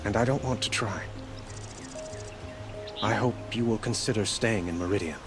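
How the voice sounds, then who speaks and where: An actor playing a gentle, grieving young king in a game cutscene, speaking formally with measured, hesitant sincerity. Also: on the low side, close to an everyday voice. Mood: earnest gratitude. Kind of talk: dramatic video game cutscene acting. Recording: music behind the voice.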